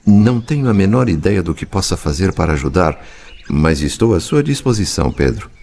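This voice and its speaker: Low, expressive actor as a compassionate male spirit. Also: brisk, vocal fry, heavily acted. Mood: consoling. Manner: solemn, consoling. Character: compassionate male spirit friend, gentle, earnest helper. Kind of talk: dramatized audiobook acting.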